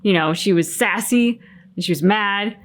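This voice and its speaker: Female reaction co-host, mid-pitched, informal conversational delivery. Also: normal speed. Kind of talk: casual chat.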